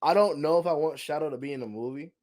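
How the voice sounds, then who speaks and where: A man's voice: fairly high pitch, fast talker, darkish tone. A man chatting casually as a podcast co-host, opinionated and slangy, often repeating himself as he argues. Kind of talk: casual chat, film discussion.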